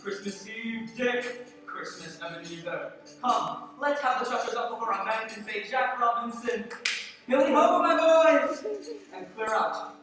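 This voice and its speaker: A man's voice: sits high, expressive, measured pace, pushed and bright, full character voice. A man playing a jolly, hearty old master calling out to his apprentices in a live radio play. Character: jolly, booming old master throwing a Christmas party. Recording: echoing hall, distant mic, clear music.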